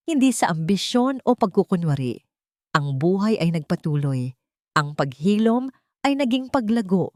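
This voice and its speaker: A woman reading a sentimental story as a scripted narrator, in a measured, earnest storytelling manner. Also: fairly low pitch, a little mellow, no echo, clean studio sound.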